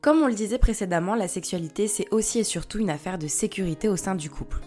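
A woman delivering scripted narration in a measured, essay-like reading. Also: medium pitch, animated, mellow, soft background music.

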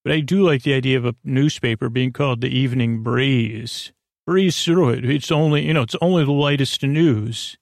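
A man's voice: mid-range voice, moderate pace, vocal fry, no room sound, clean studio sound. A man hosting a bedtime podcast in a rambling monologue, hesitant and meandering, often losing his thread and doubling back. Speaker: podcast host.

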